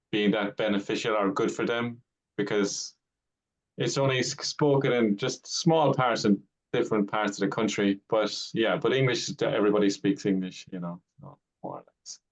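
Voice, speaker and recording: A man's voice: fairly low pitch, fairly even intonation, a little slow, vocal fry, rough recording. A man from Ireland with an Irish accent, chatting loosely as a guest in a conversation class over a video call, full of "yeah" and restarts. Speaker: guest speaker.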